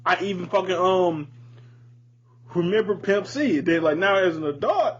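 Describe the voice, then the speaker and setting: A man with a fairly high voice talks casually as a podcast co-host, slangy and freely swearing as he makes his points emphatically. The sound is dull and lo-fi, with a little noise behind him. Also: expressive, moderate pace. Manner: slangy, profane, emphatic opinions. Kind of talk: casual music discussion. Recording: dull lo-fi audio, audible background noise.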